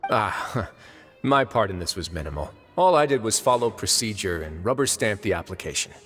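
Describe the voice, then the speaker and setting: Composed prison warden duke, lowish voice, dry wit, polite, unhurried, video game dialogue, music behind. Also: male voice, very animated, fairly bright tone. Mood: composed, explanatory. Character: composed, wry duke running an underwater prison.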